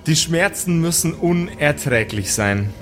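A man narrating an improvised tabletop audio play as game master, in measured, dramatic short phrases. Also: on the high side, expressive, a bit bright, faint music behind.

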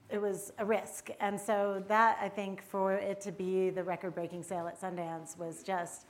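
A woman's voice: medium pitch, measured pace. A North American woman, a filmmaker, talking conversationally on a panel. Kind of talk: casual panel talk. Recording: live panel with clear background noise.

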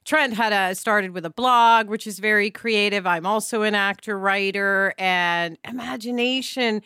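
Bright, clear-voiced woman podcast host. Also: mid-range voice, unhurried, no echo, studio quality. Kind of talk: conversational podcast hosting.

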